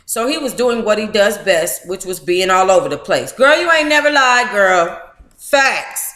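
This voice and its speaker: An American woman hosting a book club, reading aloud informally and breaking into animated, playful, candid asides. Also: medium pitch, unhurried, echoey room.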